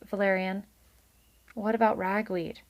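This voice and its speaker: A woman's voice: medium pitch, little pitch movement, a little slow, natural voice. Storybook mother mouse, read by a woman: short, plump, tender, anxious, tremulous. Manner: soft, tremulous, gently urgent.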